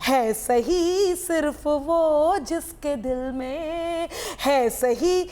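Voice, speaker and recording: A woman reciting poetry in a melodic, half-sung way, drawing out and repeating her lines expressively. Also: high-pitched. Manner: drawn-out, expressive delivery. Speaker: poet reciting at a poetry gathering.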